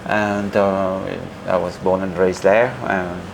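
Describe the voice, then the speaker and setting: A man's voice: deep voice, little pitch movement, slow, background noise. A man with a French accent chatting as an interview guest, telling anecdotes in a hesitant, self-deprecating way. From northeast France. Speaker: guest, wine educator.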